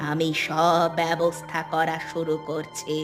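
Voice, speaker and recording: A vengeful village occultist mother in a horror cartoon. Her voice is low and very level, solemn and measured, with music underneath. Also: monotone. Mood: grim, resolute. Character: grim, vengeful bereaved mother, village tantric practitioner. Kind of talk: scripted Bengali horror cartoon acting.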